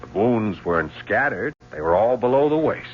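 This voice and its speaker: An actor playing a relentless police lieutenant in a radio drama, probing and insinuating with control. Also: male voice, on the low side, sing-song, normal speed, husky. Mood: pressing, skeptical. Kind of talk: 1970s radio drama acting. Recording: muffled, humming old radio broadcast.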